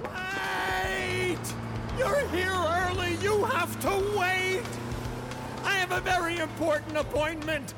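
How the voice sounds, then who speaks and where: Audio drama: manic, chubby businessman railing at fate, extremely high-pitched, slow anguished cries. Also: steady tone, over loud music. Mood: frantic pleading. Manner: frantic, strained yelling.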